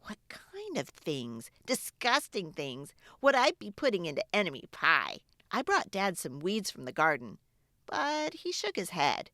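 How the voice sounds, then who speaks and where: Female storyteller for kids' picture books, slightly low register, lively swooping intonation. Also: unhurried, no echo, studio quality. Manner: expressive, dramatic, with hushed asides. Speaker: children's book reader and channel host. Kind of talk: animated read-aloud storytelling for kids.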